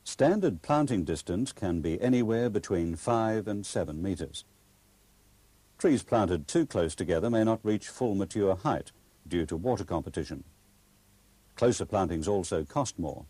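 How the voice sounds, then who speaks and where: A male narrator reads an instructional script formally and carefully. His low, husky voice moves expressively, in a dry, close recording. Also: expressive, mellow, no echo. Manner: formal, measured explanation.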